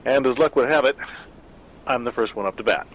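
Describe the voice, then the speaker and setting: A man in his sixties, an author reading his own horror story and then chatting in a measured, self-deprecating way. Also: medium pitch, fairly bright tone, slight background noise, low-quality recording. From California. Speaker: author and narrator. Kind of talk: scripted horror narration and casual backstage talk.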